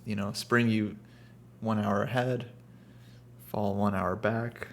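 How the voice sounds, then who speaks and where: Guy chess commentator, laid back, hedgy, bit gravelly. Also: fairly low pitch, slow. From United States, raised in California. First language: Russian. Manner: relaxed, hedging, lots of fillers. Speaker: chess commentator, international master. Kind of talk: casual chess commentary chat.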